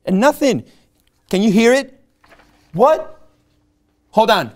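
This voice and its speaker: A man playing an eager, cocky but jittery rookie realtor in a screenplay table read, speaking conversationally and a bit haltingly. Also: high voice, very animated, darkish tone, exaggerated voice. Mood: panicked.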